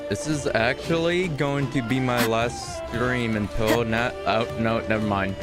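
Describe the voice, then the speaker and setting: A man talks in a casual livestream style while reacting to a game. His pitch is average, and music is clearly heard behind him. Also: unhurried.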